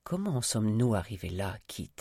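A man's voice: on the low side, big pitch swings, a little fast, dark tone, slightly rough, dry recording, studio quality, heavily acted. A man reading an audiobook, voicing a grave older father who speaks in a subdued, sorrowful way. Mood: grave sadness. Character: sad, grave older father confronting his son.

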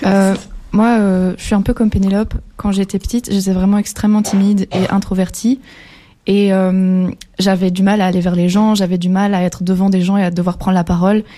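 A young woman in a radio chat, telling a personal story in an earnest, steady way. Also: mid-range voice, brisk, a little hiss or hum. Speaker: workshop participant. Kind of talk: casual storytelling.

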